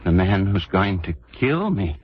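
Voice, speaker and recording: An actor playing an unhinged, grandiose composer with a theatrical, erratic delivery in 1940s radio detective style. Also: male voice, sits low, sing-song, measured pace, over loud music. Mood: manic glee. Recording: muffled, noisy old radio recording.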